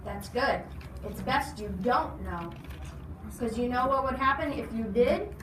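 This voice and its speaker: A woman's voice: fairly high pitch, expressive, measured pace, a bit bright. A woman voicing a menacing, sneering policeman who talks down to people with threats. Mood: threatening. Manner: threatening, condescending. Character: menacing policeman, sneering, softly bullying a young boy. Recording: noisy echoey room, distant mic.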